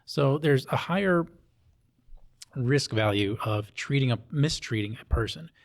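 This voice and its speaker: A man's voice: mid-range voice, lively intonation, measured pace. Explanatory man from Hawaii in conversation. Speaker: police officer interviewee. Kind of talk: conversational interview talk.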